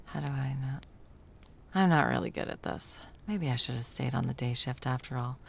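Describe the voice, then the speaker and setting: A woman's voice: rumbling low, normal speed, vocal fry, slight background noise, full character voice. A woman playing a bumbling, flustered female Christmas elf in a whimsical comedic audio roleplay, evasive and sarcastic, rambling and backtracking as she goes.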